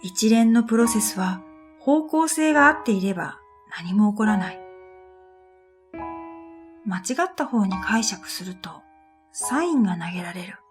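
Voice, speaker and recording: A woman's voice: mid-range voice, animated, measured pace, pauses often. A woman narrating a scripted first-person story for a radio drama in an introspective, matter-of-fact manner. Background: music.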